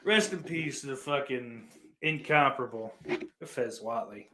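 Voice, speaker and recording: A man's voice: medium pitch, unhurried, bright, forceful tone, slight background noise. A man hosting a podcast, chatting casually and answering listener comments in a blunt, profane way. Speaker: podcast host.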